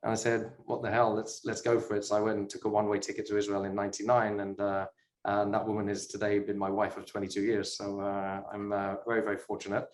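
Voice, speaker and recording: A man's voice: lowish voice, completely flat delivery, fast talker, reverberant. A middle-aged man from the UK with a British accent, talking as an interview guest in a chatty, self-deprecating way with frequent fillers. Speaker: guest, sports investor. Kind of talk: casual interview talk.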